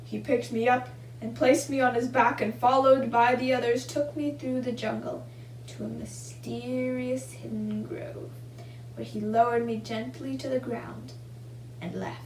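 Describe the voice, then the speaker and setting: A woman's voice: a little high, steady tone, measured pace, darkish tone, a little hiss or hum, low-quality recording. A young woman reading a children's story and giving simple craft instructions. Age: young adult. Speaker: volunteer storybook reader and craft demonstrator.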